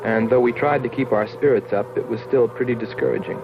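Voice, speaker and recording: A man acting a sober astronaut narrator, mid-pitched and measured, delivering scripted film narration. The old soundtrack is dull, with clear background noise. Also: steady tone, lightly acted. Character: matter-of-fact male astronaut recounting an expedition.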